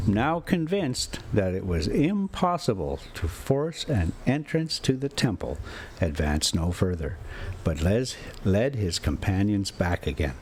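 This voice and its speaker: A man's voice: lowish voice, slight background noise. A man with a folksy manner, hosting a read-aloud story time in a casual, homespun way. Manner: folksy, rambling, chuckling self-commentary. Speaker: storyteller and host reading a classic novel.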